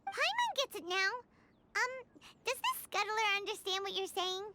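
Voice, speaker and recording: A very high, childlike fairy voice bounces around with lively, swooping intonation. She is a bold, excitable little game companion who scolds and cheers in turn. Loud hiss from the capture sits under the voice. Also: measured pace, exaggerated voice. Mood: curious. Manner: scolding, eager, exclamatory. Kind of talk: game cutscene voice acting.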